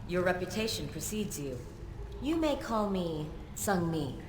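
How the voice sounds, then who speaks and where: A cool, determined informant in a fantasy game. Her slightly low voice is direct and formal, strictly business. It echoes, with distinct noise around it. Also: sing-song, measured pace. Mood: composed, businesslike. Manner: direct, formal, matter-of-fact. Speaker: game character. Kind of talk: dramatic video game voice acting. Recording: echoing space with clear background noise.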